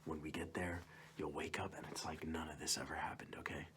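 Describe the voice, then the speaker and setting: A man playing a goofy, menacing kidnapper in an ASMR roleplay, coaxing and mock-reassuring in a breathy, hushed whisper. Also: a bass voice, flat delivery, fairly quick, background noise. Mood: hushed reassurance. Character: goofy menacing male kidnapper soothing his captive to sleep.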